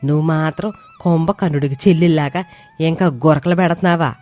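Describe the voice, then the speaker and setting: A woman's voice: low-pitched, steady tone, quick pace, a little mellow, close to an everyday voice. A woman voicing a domineering, sarcastic older mother-in-law in a cartoon moral story, scolding with pointed rhetorical questions. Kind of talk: acted dialogue in an animated moral story. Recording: music behind the voice. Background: music.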